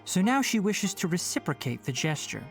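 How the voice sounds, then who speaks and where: An actor playing a calm, scholarly young male forest ranger in a video game, speaking in an articulate, measured way. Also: sits high. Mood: earnest, measured. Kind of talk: scripted video game dialogue. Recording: music behind the voice.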